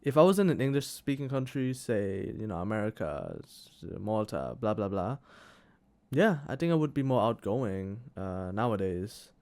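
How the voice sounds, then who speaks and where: Young thai dude talkin english, clear smooth voice, lots of restarts, says hella. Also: male voice, on the low side, very animated, darkish tone. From Thailand. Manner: halting, with restarts and "hella" slang. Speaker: podcast co-host. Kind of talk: casual chat.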